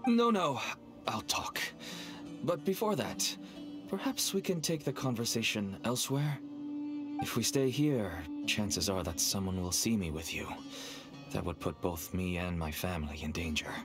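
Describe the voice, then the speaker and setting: A man's voice: on the low side, animated, measured pace. A man voicing a nervous, wheedling middle-aged father and dealer in a game, with a persuasive manner. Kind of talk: game voice acting. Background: game music.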